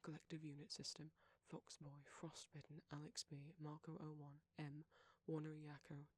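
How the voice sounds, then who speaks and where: A soft-spoken audio creator reading out a long list of supporter names in a near-monotone recitation. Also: mid-range voice, moderate pace.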